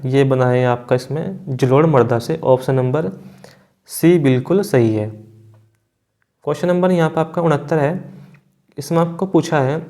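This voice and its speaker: A man's voice: on the high side, a little mellow. A man teaching exam prep, reading out quiz questions and confirming answers in an instructional way with frequent check-in tags.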